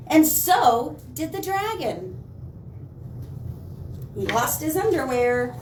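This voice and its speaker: A woman reads a children's story in a high, slow voice. She stresses words dramatically and drops in asides to her young listeners. The room rings around her, as if the mic is set some way off. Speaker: children's librarian reading picture books aloud. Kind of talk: animated storytime read-aloud for children. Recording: roomy, distant mic, faint noise.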